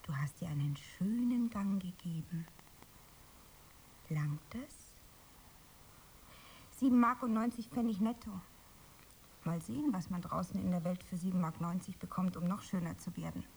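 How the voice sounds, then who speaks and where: A woman playing a young aspiring actress in a radio play, dreamy, wistful and proud, with breathy theatrical musing. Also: on the low side, moderate pace, pauses often, a little mellow, a little hiss or hum, natural voice, low-quality recording. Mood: pleading, hopeful. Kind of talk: radio play monologue and dialogue.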